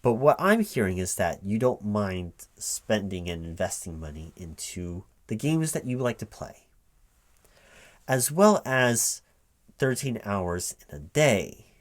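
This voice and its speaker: Male recruiting manager for audio roleplay: lowish voice, spoken not whispered, hesitant puzzled questioning, computer keys clacking behind. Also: sing-song. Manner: halting, puzzled questioning, checking back with "right?". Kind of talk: one-sided spoken ASMR interview roleplay. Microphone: close microphone with typing sounds behind. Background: computer keyboard typing.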